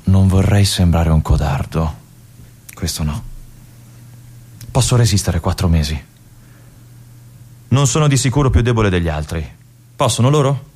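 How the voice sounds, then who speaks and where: A man's voice: sits low, expressive, a little mellow, slightly rough, full character voice. A man playing a brooding young lieutenant. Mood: uneasy. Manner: confiding, brooding, halting asides. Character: young male army lieutenant, restless and uneasy. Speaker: stage actor in a radio monologue. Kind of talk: theatrical monologue.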